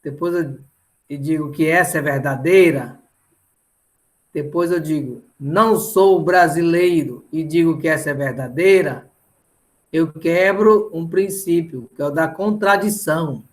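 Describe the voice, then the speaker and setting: A man's voice: fairly high pitch, measured pace. A middle-aged Brazilian man lecturing didactically in an online lesson, often checking in with short tag questions. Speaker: mathematics teacher.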